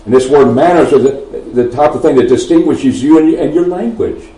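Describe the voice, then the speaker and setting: A man's voice: on the high side, fairly quick, dark tone. A man with a Texan accent preaching a sermon, swelling emphatically on key phrases and often restarting his sentences. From Texas. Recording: roomy echo, mic at a distance.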